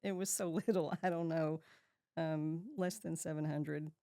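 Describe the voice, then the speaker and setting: A low, gravelly woman in her fifties talks easily as a podcast guest. She laughs a lot and lets her sentences trail off before picking them back up. The sound is close and dry. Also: fairly even intonation, a little slow, dark tone, no room sound. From Texas, USA. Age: 50s. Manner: laughs often, trails off and restarts. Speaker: guest, shop owner. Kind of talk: casual interview chat.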